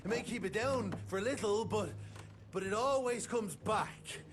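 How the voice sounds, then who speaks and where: An actor playing a shifty, cowardly, foul-mouthed vampire informant in a video game, with a jittery, stammering delivery. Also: male voice, high-pitched, normal speed, background noise, lightly acted. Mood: alarmed, warning. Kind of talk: video game cinematic dialogue.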